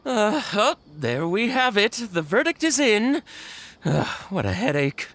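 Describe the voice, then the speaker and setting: A man playing a flustered, anxious toy carver with a hesitant, halting manner in a video game. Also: high voice, sing-song, a bit bright, full character voice. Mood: anxious, dejected. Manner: hesitant, halting, dramatic sighing worry. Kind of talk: animated video game dialogue.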